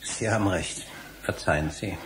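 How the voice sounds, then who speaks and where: An actor playing an apologetic, scholarly middle-aged husband in a German radio drama, courteous and contrite. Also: male voice, sits low, moderate pace, vocal fry, a bit bright, a little hiss or hum, low-quality recording.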